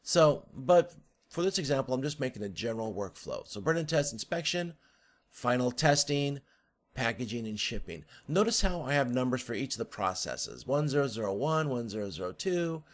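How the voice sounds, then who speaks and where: A man's voice: medium pitch, big pitch swings, normal speed. A man giving an instructor's explanatory lecture, talking through a diagram step by step in a hesitant way, often repeating words as he thinks aloud.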